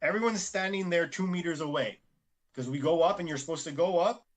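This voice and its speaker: A man's voice: fairly high pitch, fairly quick. A man co-hosting a podcast, telling a rambling everyday story in casual chat and restarting his sentences often. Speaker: podcast co-host.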